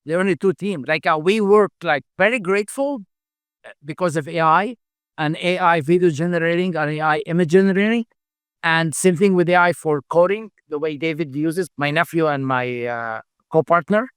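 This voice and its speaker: A middle-aged man, a company founder, speaking English as a second language in a halting interview answer style, full of fillers and repeated words. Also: fairly high pitch, clear, resonant tone, no echo, studio quality. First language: not English. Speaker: founder and CEO, podcast guest.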